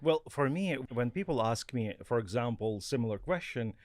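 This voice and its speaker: A man hosts a casual interview in a mid-pitched voice. He speaks haltingly, pausing and restarting as he looks for the right words in a language that is not his first. The recording is dry. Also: expressive, a little mellow, no echo. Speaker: podcast host.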